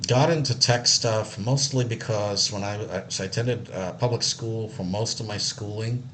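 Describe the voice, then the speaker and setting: A man's voice: fairly low pitch, normal speed, fairly bright tone, slight background noise. A man from Israel telling his life story casually, rambling a little with many fillers and restarts. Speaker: accessibility analyst.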